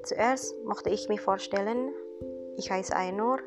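Young woman, Indonesian accent, earnest, encouraging, halting non-native German, podcast talk, guitar music underneath. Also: mid-range voice. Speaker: podcast host, student. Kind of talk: casual monologue podcast. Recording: music bed behind voice.

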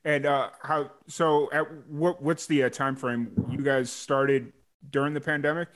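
A man's voice: fairly high pitch, fairly even intonation, measured pace, fairly bright tone. A man hosting a podcast, asking loose, rambling questions full of restarts in casual chat. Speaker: podcast host.

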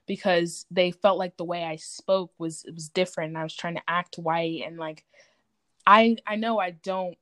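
Young adult woman, slightly low pitch, dry close sound, halting, reflective, casual personal discussion. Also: fairly even intonation, a bit bright, no room sound. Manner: informal, halting, reflective, frequent "like". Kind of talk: candid personal group discussion.

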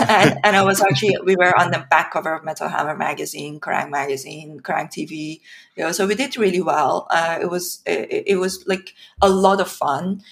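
A woman from Iran, in her thirties, talking in a casual podcast interview with many fillers and pauses. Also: low voice, creaky. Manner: hesitant, many fillers, animated when laughing. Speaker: podcast guest.

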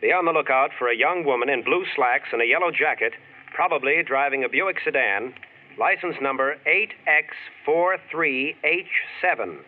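A man's voice: medium pitch, moderate pace, slight background noise, natural voice. A man as a police radio dispatcher. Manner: flat, official announcing. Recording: tinny old radio broadcast.

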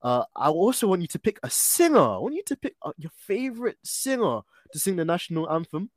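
A man's voice: high-pitched, very animated, a little fast, no echo, clean studio sound. A man hosting a podcast interview, upbeat, prompting his guest with questions and easy laughs. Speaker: podcast host.

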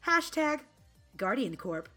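A woman co-hosts a casual podcast with a bright, lively voice that leaps around in pitch. She is playful and bubbly, tossing in sound effects and bits of song. Faint music plays underneath. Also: slow. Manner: bubbly, throws in sound effects and sung snippets. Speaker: podcast co-host.

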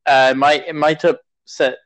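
Hesitant young man, slightly higher voice. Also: flat delivery, fairly bright tone. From half South African. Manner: hesitant, halting, many fillers. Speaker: podcast co-host. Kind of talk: casual chat.

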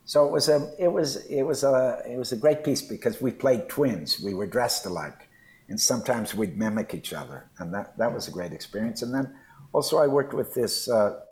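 A man's voice: on the low side, animated, moderate pace, darkish tone. An older man chatting as a podcast co-host, thoughtful and hesitant with many fillers. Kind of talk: casual conversation.

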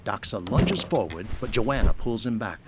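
A man's voice: lowish voice, very animated, moderate pace, very noisy. A man reading scripted narration for an audio drama.

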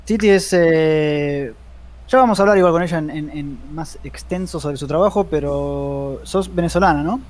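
A man with an Argentine accent co-hosting a casual chat, easygoing and halting in his speech. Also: a little high. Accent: Argentine Spanish. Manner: easygoing, halting, laughs often. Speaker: podcast co-host.

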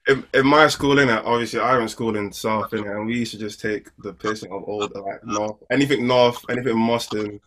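A man's voice: fairly low pitch, steady tone, fairly quick, few pauses, a bit bright, low-quality recording. A young man co-hosting a podcast, laid-back and teasing. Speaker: podcast co-host. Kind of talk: casual chat.

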